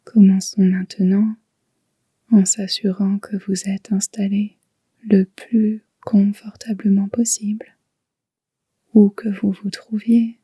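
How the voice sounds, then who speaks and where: A woman guiding a yoga nidra relaxation in a soft, whispery, breathy voice, soothing and unhurried. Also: medium pitch, no room sound. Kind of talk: slow guided relaxation meditation.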